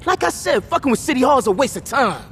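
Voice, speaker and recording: An actor playing a hot-headed, defiant young male gangster who talks loudly and swears. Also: extremely high pitch, very animated, quick pace, in a large hall, faint music behind, full character voice. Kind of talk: video game cutscene acting.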